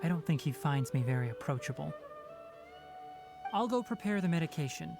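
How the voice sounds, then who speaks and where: Casting: young male ranger scholar for an anime game, calm, articulate, expressive pitch, music underneath. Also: gravelly. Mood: matter-of-fact. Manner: measured, articulate, matter-of-fact. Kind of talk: anime video game voice acting.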